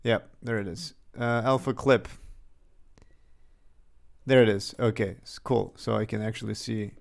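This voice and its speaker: A young developer from Serbia talks through his work, his light Serbian accent coming through. He speaks slowly, thinking aloud and chuckling now and then. His voice is smooth and clear, picked up at a desk mic in a home workspace. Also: lowish voice, steady tone. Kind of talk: casual livestream commentary.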